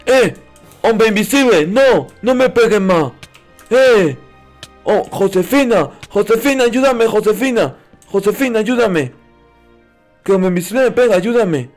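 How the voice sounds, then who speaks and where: A man with a very high voice narrates his video game as playful roleplay. He sounds childlike and naive, exclaiming often, with music playing behind him. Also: lively intonation, a little slow, darkish tone. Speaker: gaming video host.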